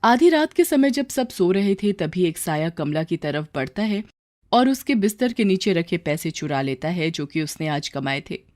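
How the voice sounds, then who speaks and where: A woman's voice: on the low side, big pitch swings, normal speed, darkish tone, no echo. A woman storyteller giving scripted narration of a story.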